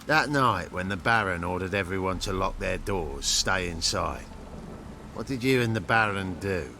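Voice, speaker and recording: An actor playing a subdued servant in a dramatic video game, speaking in sparse, hushed phrases. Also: male voice, low voice, animated, moderate pace, vocal fry, noisy background. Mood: uneasy.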